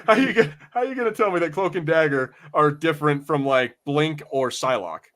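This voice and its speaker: A man's voice: fairly high pitch, lively intonation, brisk, a bit bright. A man co-hosting a podcast, chatting casually about pop culture in a profane, exasperated ranting manner. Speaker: podcast co-host.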